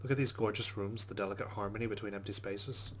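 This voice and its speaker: A man's voice: on the low side, steady tone, fast talker, slight background noise. A man hosting a let's play, giving casual game commentary and reading the game's text aloud.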